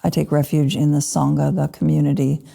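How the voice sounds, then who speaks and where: A woman teaching a guided meditation, speaking in short, unhurried phrases with gentle pauses. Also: low voice, level tone, gravelly. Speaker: Buddhist meditation teacher (lama).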